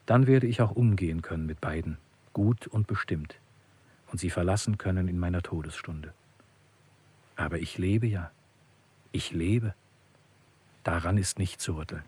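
A low, husky, anguished brooder. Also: male voice, moderate pace, long pauses, a little mellow. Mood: grave, resigned. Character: anguished man musing bitterly on hope and despair. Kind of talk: literary radio play monologue.

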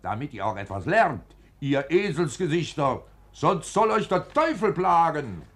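A man's voice: fairly high pitch, sing-song, a little slow, a bit bright, full character voice. A man playing a sardonic, scolding Renaissance storyteller who blusters theatrically in a 1940s German radio play.

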